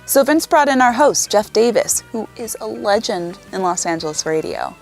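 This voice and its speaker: A woman's voice: mid-range voice, expressive, normal speed. A woman presenting a scripted promotional narration. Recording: music bed under voice.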